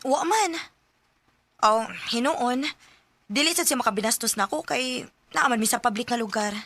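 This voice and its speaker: A woman's voice: fairly high pitch, moderate pace, a bit bright. An actress with a Cebuano Filipino accent playing a polite, slightly nervous young working woman in a radio drama. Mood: chatty, reassuring. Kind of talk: Bisaya radio drama acting.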